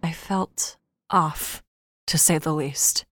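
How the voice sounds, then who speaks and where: An actress playing a weary, uneasy traveler, narrating a horror drama in a measured, brooding, subdued way. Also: female voice, sits low, fairly even intonation, a bit bright, dry recording, clean studio sound, heavily acted. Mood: brooding narration. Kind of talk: first-person horror audio drama narration.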